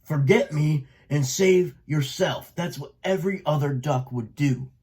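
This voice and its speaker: A man's voice: on the high side, unhurried, darkish tone, close to an everyday voice. A man voicing a proud, wounded old father duck in a storybook, blunt and pleading. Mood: urgent, exasperated.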